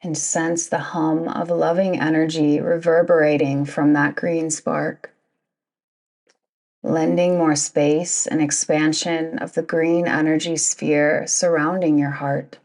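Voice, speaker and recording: A woman guiding a yoga nidra meditation in a soothing, deliberate manner, with long pauses between phrases. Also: sits low, flat delivery, darkish tone. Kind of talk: guided meditation narration.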